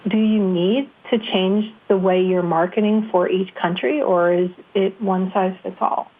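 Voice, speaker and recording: American lady hosting an interview show, chatty, normal pitch, sounds like she's on the phone. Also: fairly even intonation, measured pace. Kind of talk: conversational interview. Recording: thin phone-line sound.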